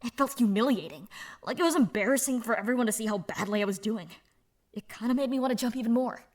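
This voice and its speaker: A woman voicing a young woman speaking softly and haltingly about her past, in a fan audio drama. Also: on the high side, lightly acted. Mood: somber. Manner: soft, halting, confessional. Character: young woman, subdued, candid.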